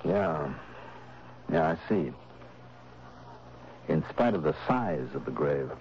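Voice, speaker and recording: An actor playing a cool, dogged insurance investigator in a 1960s radio drama, speaking in a clipped, dry, commanding way. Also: male voice, rumbling low, lively intonation, slow pace, darkish tone, full character voice. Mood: dry, firm. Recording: noisy, dull old radio recording.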